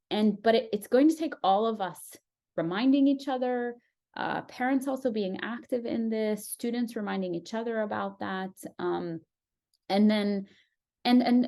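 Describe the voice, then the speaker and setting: A woman's voice: mid-range voice, darkish tone. A woman psychologist giving earnest, reassuring advice in an interview, often checking in with "right?". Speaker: clinical psychologist guest.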